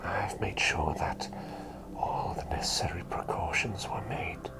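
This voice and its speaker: A man playing a gentle, doting plague doctor in a soothing whispered ASMR roleplay, attentive and softly playful. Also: low-pitched, measured pace, over music. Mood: tender, reassuring.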